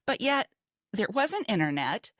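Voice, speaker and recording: A woman's voice: a little high, big pitch swings, measured pace, fairly bright tone, no echo, studio quality. An older American woman from Texas, a podcast co-host reminiscing in casual chat with emphatic, conversational storytelling. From Texas, United States.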